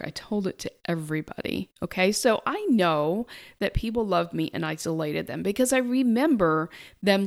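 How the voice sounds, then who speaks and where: A middle-aged woman with a soft, quiet speaking voice, reflecting on her own life in a halting, thoughtful way. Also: on the low side. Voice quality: soft-spoken. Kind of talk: personal reflective monologue.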